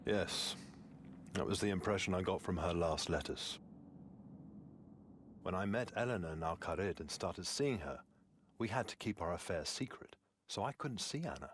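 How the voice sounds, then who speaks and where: A man playing a wistful, romantic nobleman with a measured, earnest manner in a fantasy video game. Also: deep voice, expressive, a little hiss or hum. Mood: regretful. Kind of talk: scripted video game dialogue.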